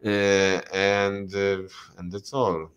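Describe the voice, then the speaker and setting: Male host, very deep and smooth, slow hesitant English over a video call. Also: flat delivery, a bit bright. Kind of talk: casual political conversation.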